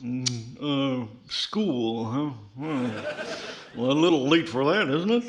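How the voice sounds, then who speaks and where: A man playing a dim-witted, good-natured country-bumpkin dummy with a slow, hesitant, dopey drawl, in 1940s radio comedy style. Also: medium pitch, very noisy, close to an everyday voice. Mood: dopey, cheerful.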